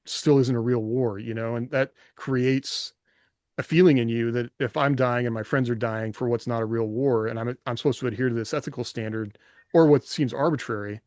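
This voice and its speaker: A man's voice: medium pitch, steady tone, moderate pace, a little mellow, no echo. Reflective man chatting on a dry-sounding podcast. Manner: reflective, rambling, hedging with rhetorical questions. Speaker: podcast host and author. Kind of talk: casual podcast discussion.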